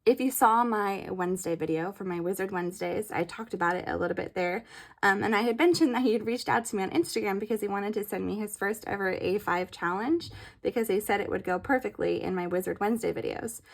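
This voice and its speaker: A woman's voice: medium pitch, quick pace, gravelly. A young woman chatting casually as a vlogger, giggly and gushing, her throat a little scratchy from allergies. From California. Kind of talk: casual chat.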